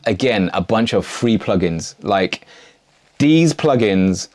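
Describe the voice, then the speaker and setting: A man presenting a casual music production tutorial in an enthusiastic, slangy way. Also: medium pitch. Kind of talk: casual video tutorial narration.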